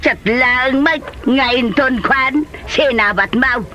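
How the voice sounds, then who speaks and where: A man's voice: very high voice, slow, sharp tone, highs cut off, slight background noise, heavily acted. A man with a Filipino accent playing a blunt, nagging mother in a comedy radio drama.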